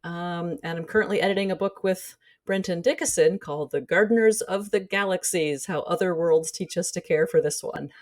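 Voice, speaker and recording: A woman's voice: lowish voice, normal speed, hardly any pauses. A woman speaking as an academic guest in a casual podcast interview, articulate and bookish. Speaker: academic guest, editor and teacher.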